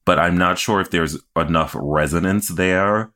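A man with a low-pitched voice talks as a podcast co-host. He recaps television casually and conversationally, offering opinions with a wry touch. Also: measured pace, bright, forceful tone.

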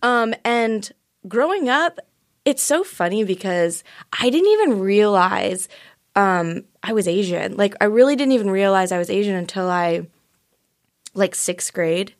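A young woman chats as an interview guest. She sounds bubbly and open, with lots of "like" fillers and easy laughter. She speaks at a middling pitch. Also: lively intonation, unhurried. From Vietnam. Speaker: guest actress. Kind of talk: casual interview chat.

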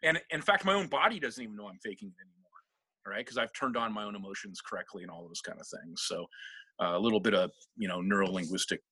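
A man's voice: lowish voice, fast talker, sharp tone, breathy edge, no echo. A middle-aged man from Seattle, a guest telling rambling, self-deprecating stories in a casual interview and laughing often. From Seattle, USA.

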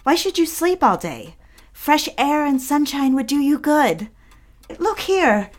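A woman's voice: high voice, very animated, takes it slowly, full character voice. A woman playing an earnest, lonely young woman in an audio drama, prim and coaxing, eager to be a friend. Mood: coaxing, bright.

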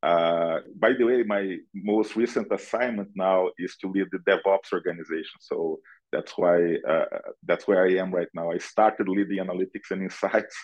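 The deep-voiced Brazilian man you'd hear as a remote guest on an industry podcast, picking his words haltingly over a slightly dull connection. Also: steady tone. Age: middle-aged. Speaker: podcast guest, analytics director. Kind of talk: conversational interview answers.

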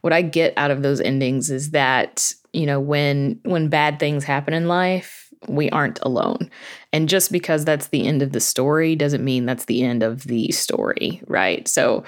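Female interview guest, low register, conversational and chatty, thoughtful explainer. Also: measured pace. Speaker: interview guest, horror fiction podcast creator.

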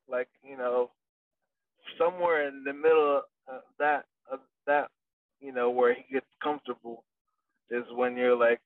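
A man's voice: medium pitch, no room sound. A man who trains boxers, talking casually in an interview and rambling with lots of fillers and restarts. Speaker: boxing trainer. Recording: dry, distant, phone-like audio.

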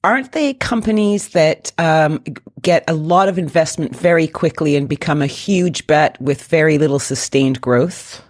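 A woman hosting an interview, playful and quick to jump in with questions. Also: deep voice, no room sound, clean studio sound. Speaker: host. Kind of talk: conversational interview.

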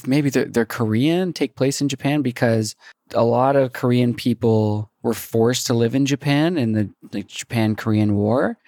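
A man's voice: medium pitch, darkish tone, no echo, clean studio sound. A man hosting a casual chat, talkative and eager to recommend things.

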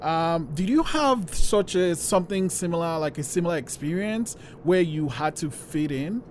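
Host: mid pitch, soft-spoken questioner, slight background hiss. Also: expressive, normal speed. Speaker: podcast host. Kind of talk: casual podcast interview.

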